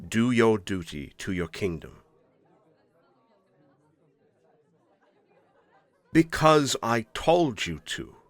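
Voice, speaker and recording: A man's voice: lowish voice, very animated, unhurried, no room sound, a faint crowd. An actor playing a stern, imperious older king in an audio roleplay drama, speaking formally and with weighty dramatic emphasis. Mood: stern, commanding.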